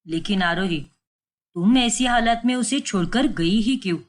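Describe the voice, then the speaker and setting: A woman voicing a calm, caring man of the family who soothes and gently reproaches. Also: mid-range voice, moderate pace, voice pushed down, close to an everyday voice. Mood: reproachful. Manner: soothing, reasoning.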